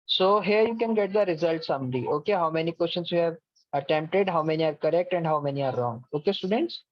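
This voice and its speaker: A man's voice: fairly high pitch, expressive, fast talker, dry recording. Man giving patient step-by-step instructions. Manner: patient, repeats "okay" often, step-by-step instructions. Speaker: online course coordinator. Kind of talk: online class platform walkthrough. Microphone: video call mic. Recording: dull lo-fi video call, dry.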